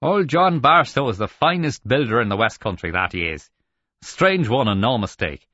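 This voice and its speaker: A man's voice: mid-range voice, very animated, moderate pace, bright, forceful tone, no echo, highs cut off, pitched above the performer's own voice. A man voicing a plain-spoken, folksy sailor, a boatswain's mate, in an audiobook sea story. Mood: wry, admiring. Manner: folksy, colloquial.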